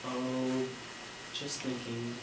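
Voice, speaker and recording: A man's voice: medium pitch, monotone, very slow. A man acting in a stage play as a wry, rambling man facing death in a zombie apocalypse. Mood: subdued, pensive. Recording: echoey stage from far off, noisy.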